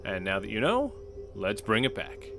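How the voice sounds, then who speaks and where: A man who plays in and edits a tabletop game show, speaking in a lively way. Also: fairly low pitch, normal speed, creaky, over music. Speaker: player and editor. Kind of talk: casual chat and recorded intro.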